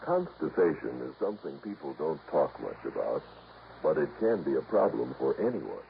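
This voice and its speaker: A man reading a scripted 1950s radio commercial as an announcer, measured and polished. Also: extremely low pitch, huge pitch swings, vocal fry. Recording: dull, noisy old radio recording.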